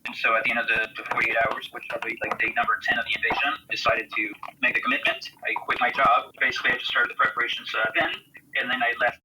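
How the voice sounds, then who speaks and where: A middle-aged American man from Texas recounting his experience in an interview in a measured, matter-of-fact way. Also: mid-range voice, completely flat delivery, noisy background. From Texas, United States. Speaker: interviewed military volunteer and veteran.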